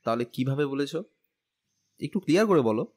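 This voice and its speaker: A man's voice: mid-range voice, lively intonation, brisk, a little mellow, lightly acted. A young man playing a caring, playful husband who coaxes and teases in a romantic audio story. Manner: teasing, coaxing.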